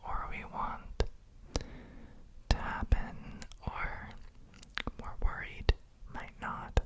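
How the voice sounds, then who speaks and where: Female ASMR guide: all whisper, extremely slow and unhurried, breathy and soothing, for sleep relaxation. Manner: gentle, soothing, unhurried with frequent pauses. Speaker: ASMR host guiding relaxation.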